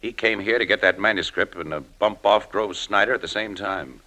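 An actor playing an easygoing, slangy press photographer who plays sleuth in an old-time radio crime drama. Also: male voice, on the low side, a little fast, sharp tone, poor audio. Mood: sure, deducing. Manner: casual, slangy. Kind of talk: 1940s-50s radio drama acting.

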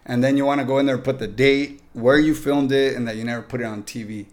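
A man's voice: medium pitch, steady tone, fast talker. A man with a Mexican accent, a podcast guest giving upbeat, joking tips straight to the listeners. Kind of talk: casual podcast chat.

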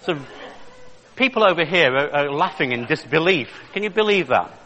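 A man's voice: a little high, animated, moderate pace, sharp tone. A man in his fifties from the north of England, giving a joking, chatty lecture to an audience. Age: 50s. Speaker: creationist speaker. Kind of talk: public lecture. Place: large lecture hall. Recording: long reverb of a large hall.

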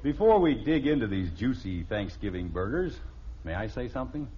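An actor playing a middle-aged American father, calm, wry and fond, reassuring and gently in charge, in an old radio family comedy. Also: mid-range voice, normal speed, a crowd in the background, natural voice. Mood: solemn, grateful. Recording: dull old radio recording.

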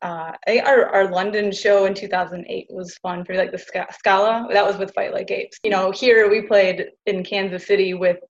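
A woman's voice: medium pitch, fairly even intonation, moderate pace, few pauses, muffled recording. A woman who plays in a band, chatting casually as an interview guest with loose fillers and laughs.

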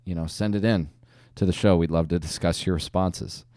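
A man's voice: sits low, fairly even intonation, moderate pace, dark tone, no echo, studio quality. A man co-hosting a podcast, rambling and swearing freely in crude casual banter. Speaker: podcast co-host. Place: podcast studio.

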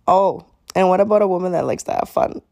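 A young woman talks through her own podcast in a sincere, conversational way, often pausing on "um." Her voice sits low and mellow, with heavy fry and a little rasp today. It sounds like a heartfelt solo episode. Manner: sincere, chatty, with frequent fillers. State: slightly raspy voice. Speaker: podcast host.

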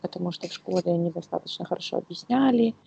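A woman from Ukraine co-hosting a casual podcast, speaking in a halting, searching way. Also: fairly low pitch, vocal fry, mellow, slightly rough. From Kyiv, Ukraine. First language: Russian. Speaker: podcast co-host.

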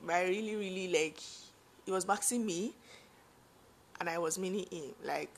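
A young Nigerian woman with a deep voice, chatting to her viewers about her late father, full of asides and fillers. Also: moderate pace, slight background noise. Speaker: vlogger. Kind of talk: personal storytelling to viewers.

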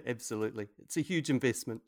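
A man from New Zealand with a New Zealand accent, an English language teacher explaining things in a relaxed way with frequent ums. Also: medium pitch, moderate pace, no room sound, clean studio sound. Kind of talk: casual conversation.

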